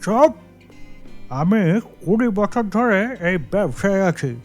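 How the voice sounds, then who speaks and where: Man playing a high-voiced, brusque cartoon shopkeeper. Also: lively intonation, takes it slowly, a little mellow. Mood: angry, scornful. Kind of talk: cartoon story acting. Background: background music.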